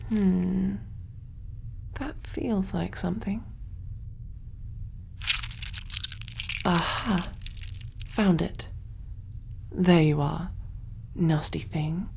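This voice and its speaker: Slow, soft-spoken alien woman surgeon, acted by a woman. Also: fairly low pitch, fairly even intonation, creaky, noisy background, full character voice. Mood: focused, curious. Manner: gentle, measured, reassuring. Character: kind, clinical alien woman surgeon, soothing and curious. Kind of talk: ASMR roleplay, soft-spoken with whispering.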